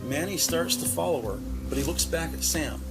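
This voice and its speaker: A man giving measured, descriptive present-tense narration for a scripted drama. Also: on the high side, animated, loud music behind the voice.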